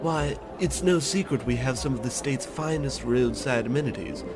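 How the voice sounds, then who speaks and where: An actor playing a flattering, chatty ex-convict casino attendant in his late sixties, with theatrical mock courtesy, in an old-time radio comedy. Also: mid-range voice, normal speed, background noise, natural voice. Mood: chatty, boastful.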